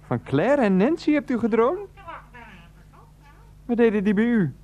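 Exasperated, put-upon husband. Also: male voice, extremely high pitch, very animated, a little slow, warm tone, heavily acted. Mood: incredulous, soothing. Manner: stammering, incredulous, placating. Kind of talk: radio play dialogue.

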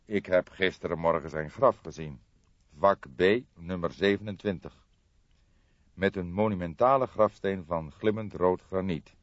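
A man voicing a calm, cynical veteran police detective in a crime audiobook, measured and gently mocking. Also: low voice, no room sound, dull audio. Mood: calm, official. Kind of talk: dramatised audiobook character reading.

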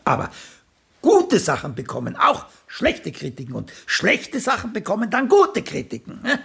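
A man's voice: high-pitched, wildly swooping pitch, normal speed, vocal fry, fairly bright tone, husky, exaggerated voice. An older male actor playing a blunt, vain, once celebrated playwright in a German radio play, opinionated and sardonic in manner. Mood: scornful. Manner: opinionated, sardonic, tags questions with "gell".

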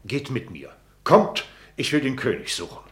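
A man's voice: medium pitch, big pitch swings, normal speed, fairly bright tone, echoey room. An actor playing an elderly, meddling father and courtier in a classical radio play, theatrical and sententious. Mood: urgent, sententious.

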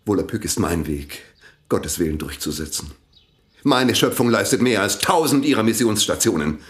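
An actor in a German radio play, playing a hot-tempered, grandiose village priest who speaks with commanding force. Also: male voice, on the low side, expressive, moderate pace, close to an everyday voice.

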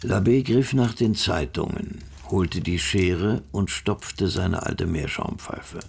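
A man's voice: sits low, animated, slow, gravelly, darkish tone, husky. A man narrating a radio drama from a script as the storyteller.

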